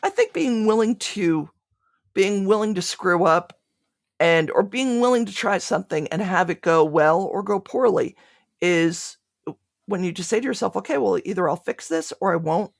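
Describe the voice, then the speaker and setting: A woman's voice: medium pitch, lively intonation, normal speed, creaky, no echo, studio quality. A woman being interviewed, speaking reflectively and conversationally, often restarting her phrases mid-thought. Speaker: podcast creator being interviewed.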